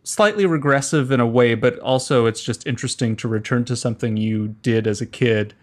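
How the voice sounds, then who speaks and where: A man's voice: medium pitch, fairly quick. A middle-aged man from California with an American accent, talking casually as an interview guest in a hesitant, wry way. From California, United States. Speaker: interview guest, musician and performer.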